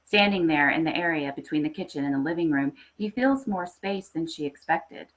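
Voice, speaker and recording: A woman reading a literary horror short story aloud with expressive, scripted narration. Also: sits low, fairly quick, low-quality recording. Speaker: story reader.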